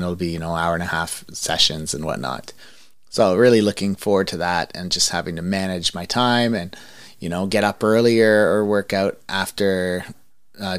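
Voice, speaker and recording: Male podcast host, thirties, lower-pitched voice, close dry recording. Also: fairly bright tone, no echo. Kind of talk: podcast talk and interview.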